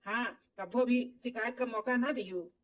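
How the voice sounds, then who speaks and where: A woman's voice: fairly high pitch, moderate pace, a bit bright, recorded from a distance, natural voice. A woman voicing an earnest, dutiful stepson in an amateur cartoon, explaining and pleading with an Awadhi accent. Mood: earnest instructing. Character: earnest, dutiful adult stepson and new husband.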